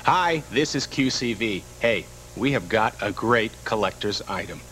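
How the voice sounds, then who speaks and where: An actor playing an earnest male TV shopping host, delivering an enthusiastic, salesy parody pitch. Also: animated, measured pace, music in the background, low-quality recording. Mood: salesy enthusiasm. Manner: salesy, enthusiastic, lingering on praise. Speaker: home shopping presenter.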